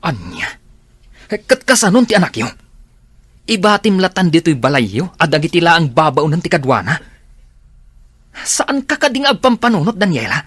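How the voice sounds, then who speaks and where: A man's voice: on the high side, wildly swooping pitch, normal speed, long pauses, fairly bright tone, husky, no room sound, heavily acted. A man with an Ilocano accent acting a protective, earnest friend in a radio drama, given to exasperated scolding.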